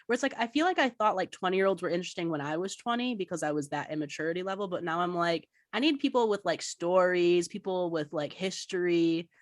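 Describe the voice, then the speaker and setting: A woman's voice: on the low side, animated, fast, hardly any pauses, dry recording, clean studio sound. A woman in her thirties co-hosting a casual recap chat, swearing freely and talking in a loose, chatty way. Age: 30s. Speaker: podcast co-host.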